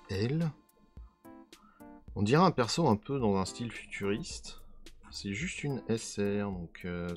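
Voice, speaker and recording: Hoarse man musing over trading cards. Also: fairly low pitch. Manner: musing, trails off hunting for names. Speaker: card unboxing video host. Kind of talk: casual unboxing commentary.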